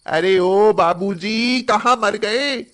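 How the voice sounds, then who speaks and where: A woman's voice: medium pitch, extremely slow, fairly bright tone. A woman playing a bossy, cruel young daughter-in-law in a moral story cartoon, with a nagging, sarcastic, haughty manner. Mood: harsh, scolding.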